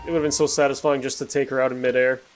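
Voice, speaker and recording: A man hosting a gameplay series with casual, chatty, reflective commentary that often pauses mid-sentence. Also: mid-range voice, flat delivery. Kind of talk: casual post-recorded game commentary. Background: music under the voice.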